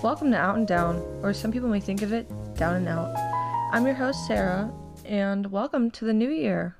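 A woman's voice: medium pitch, normal speed, a little mellow, faint music behind. A woman in her twenties hosting a podcast, chatting casually with lots of "like," her nose stuffed up. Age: 20s. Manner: rambling, peppered with "like". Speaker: podcast host. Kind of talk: casual chat.

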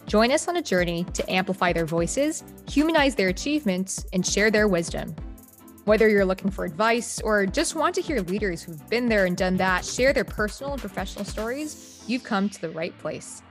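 A woman co-hosting a podcast, reading a scripted introduction smoothly. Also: mid-range voice, animated, normal speed, faint music behind. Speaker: podcast co-host.

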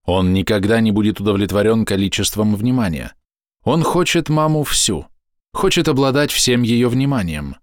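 A man reading a scripted explanatory voice-over in measured, deliberate short phrases, close to the microphone. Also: on the low side, animated, no room sound. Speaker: narrator.

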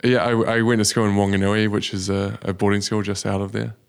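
A young man, a business founder telling his story in a relaxed way, with many ums. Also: on the low side, fast talker, creaky, clear, resonant tone. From New Zealand. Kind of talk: casual interview. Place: studio.

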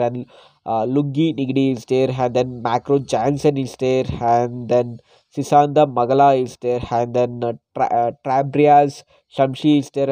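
Male podcast host, hoarse with a cold, mellow dark tone, dry close sound. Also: mid-range voice, no echo, rough recording. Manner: hesitant, frequent "uh" fillers. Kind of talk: casual solo cricket podcast.

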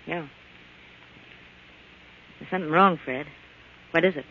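A woman's voice: low voice, a little slow, slight background noise. A woman playing a hard, scheming, suspicious murder plotter in a 1940s American radio thriller, speaking in a tense, clipped, menacing way. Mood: wary, probing. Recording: muffled old-time radio recording.

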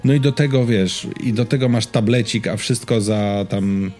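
Halting, low-voiced man chatting about tech. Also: a little mellow, faint music behind. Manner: halting, stammering, restarts words often. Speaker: podcast co-host. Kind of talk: casual tech and gaming chat.

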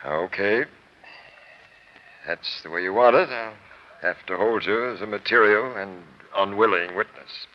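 Old radio recording, dull sound, male actor as police captain, lowish pitch, dogged, businesslike, 1940s crime drama. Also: unhurried, pauses often, natural voice. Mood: stern, pressing.

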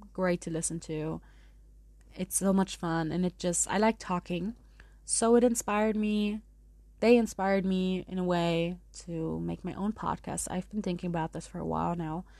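A woman's voice: medium pitch, darkish tone, dry recording. A woman in her twenties with a German accent, hosting a podcast in a chatty, rambling way. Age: 20s. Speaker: podcast host. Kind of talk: casual podcast monologue.

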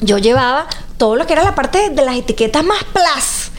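A woman with a Venezuelan accent, telling stories loudly and animatedly as a guest in a casual podcast chat. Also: sits high, moderate pace. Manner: loud, animated storyteller. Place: lives in London.

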